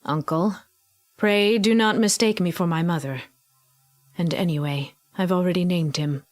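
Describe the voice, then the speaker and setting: Woman playing low-voiced, defiant young goddess of Vengeance. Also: moderate pace, close to an everyday voice. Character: defiant, merciless young Vengeance, niece of Death. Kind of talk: fantasy audio drama acting.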